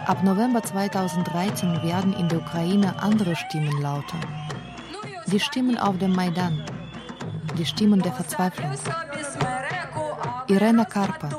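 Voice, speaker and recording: A woman's voice: on the low side, measured pace, long pauses. A woman reading scripted narration for a radio feature as its author and narrator. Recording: music behind the voice.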